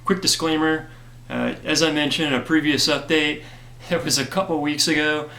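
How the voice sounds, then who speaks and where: A man who created a comic gives an earnest, grateful update to his backers, hoarse from a cold and filling his talk with "uh". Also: fairly high pitch, fairly even intonation, normal speed, sharp tone, roomy sound. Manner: earnest and grateful, many fillers. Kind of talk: casual direct-to-camera talk.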